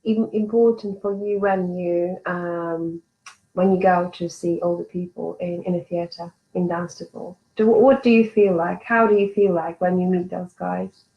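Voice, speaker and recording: A woman interviewing a guest, asking halting questions and backing her up with frequent encouraging murmurs. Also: fairly low pitch, steady tone, lo-fi sound. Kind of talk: casual interview.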